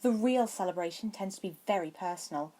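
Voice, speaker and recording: A woman's voice: medium pitch, fairly quick, mellow, close to an everyday voice. A woman voicing a bossy young schoolgirl with a blunt, emphatic manner in a story reading. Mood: explanatory.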